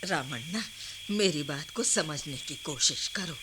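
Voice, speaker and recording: An actress playing a wise, affectionate old village grandmother in a Hindi radio play, slow and emphatic, gently chiding. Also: low-pitched, lively intonation, very noisy. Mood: earnest counsel.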